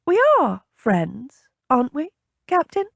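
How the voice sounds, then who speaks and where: A woman's voice: high-pitched, very animated, slow, creaky, fairly bright tone, slightly rough, dry recording, studio quality, voice pushed up, exaggerated voice. A woman voicing a chatty, impulsive young noblewoman in an audiobook, with a bubbly, coaxing, inquisitive manner. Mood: eager, prying. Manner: bubbly, coaxing, earnest, prying.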